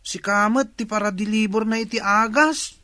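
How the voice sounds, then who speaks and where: A woman's voice: medium pitch, normal speed, sharp tone, no echo, close to an everyday voice. A woman with an Ilocano accent playing a skeptical, chatty villager in a radio comedy drama.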